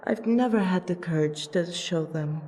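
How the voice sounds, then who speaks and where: A woman voicing a proud, protective female vampire princess in an audio roleplay, with wry, deliberate, theatrical phrasing. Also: on the low side, mellow, a little hiss or hum, close to an everyday voice. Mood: defensive, shy. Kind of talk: ASMR audio roleplay acting.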